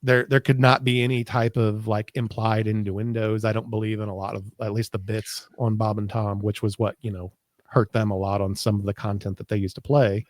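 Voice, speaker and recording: A man's voice: on the low side, level tone, a little fast, no echo. A middle-aged American man co-hosting a podcast in casual conversation. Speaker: podcast co-host. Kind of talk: casual chat.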